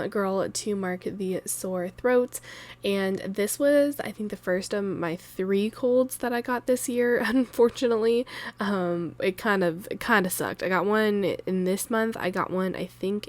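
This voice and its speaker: A woman in her thirties with a raspy voice from a cold, chatting loosely and laughing in a planner voiceover. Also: medium pitch. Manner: rambling, chatty, laughs often. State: sore throat from a cold. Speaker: planner vlogger. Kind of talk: casual voiceover chat. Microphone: voiceover mic.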